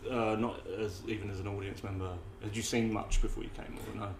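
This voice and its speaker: A man's voice: fairly low pitch, level tone, a little fast. A man hosting a podcast interview, chatty and swearing, often breaking off and restarting his sentences. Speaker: podcast host. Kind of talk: casual interview chat. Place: room with several poets nearby. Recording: echoey room, faint ambient noise.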